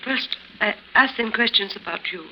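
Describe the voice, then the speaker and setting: An actress playing a determined young European woman who coolly schemes, formal and deliberate, in a 1950s radio drama. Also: fairly high pitch, sharp tone. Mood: cool, insistent. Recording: noisy 1950s radio recording.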